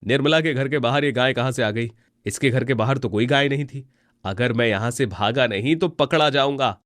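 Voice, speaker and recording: A man's voice: medium pitch, normal speed, fairly bright tone, dry recording, clean studio sound, close to an everyday voice. A man voicing a spiteful, cowardly village vendor who plots to himself in a storybook tale. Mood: alarmed.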